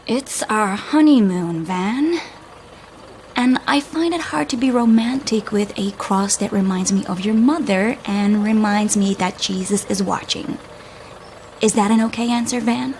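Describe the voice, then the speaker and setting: A woman playing a shy, anxious young honeymooning wife in an audio drama, fretting in a hushed, breathy, naturalistic way. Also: medium pitch, unhurried, slight background noise, close to an everyday voice. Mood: exasperated.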